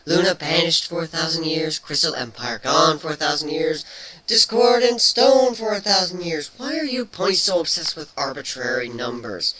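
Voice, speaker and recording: A man voicing a playful, teasing female changeling pony in a cartoon fan fiction reading, matter-of-fact even with mock threats. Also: fairly high pitch, moderate pace, crowd noise, pitched above the performer's own voice, close to an everyday voice, low-quality recording. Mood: chatty, teasing.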